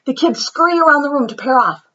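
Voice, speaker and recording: A woman reading a picture book aloud to children in an expressive, dramatic storyteller's manner with emphatic pauses. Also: sits high, muffled recording.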